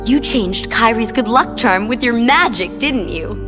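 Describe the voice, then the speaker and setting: Young female game villain: sadistic, mocking, lively sing-song taunts over clear music. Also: on the high side. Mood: mocking admiration. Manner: taunting, drawn-out mock sympathy. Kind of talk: video game cutscene acting.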